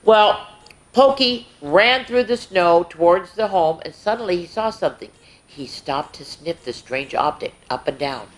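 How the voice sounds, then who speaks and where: Expressive woman reading a children's story. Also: on the low side, unhurried, low-quality recording. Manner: expressive, with asides to young listeners. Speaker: children's story time host. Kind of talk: animated read-aloud storytelling for young children.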